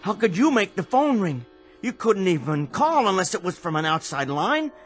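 A man's voice: high-pitched, very animated, normal speed. A man playing an irritable, suspicious, bullying husband and father in an American radio drama, sarcastic and accusing. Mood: bewildered. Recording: music underneath.

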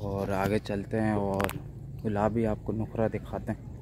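Pakistani male cattle-farm presenter, monotone, casual short phrases, noisy outdoor handheld sound with bleating. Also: fairly low pitch, unhurried. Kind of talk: casual livestock showcase narration. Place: open tented cattle yard.

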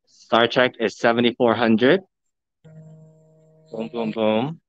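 Man, excited live vlog commentary, mid pitch, dry, dull phone audio. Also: slow pace, faint music behind. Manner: excited, enthusiastic, addressing viewers. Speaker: vlogger, live streamer. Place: large indoor arcade showroom. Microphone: phone camera.

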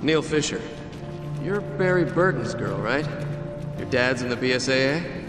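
A man's voice: mid-range voice, expressive, measured pace, over music, close to an everyday voice. An actor playing a brave, wry American boss and survivor in a video game drama, with urgency in his delivery. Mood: wry, tense.

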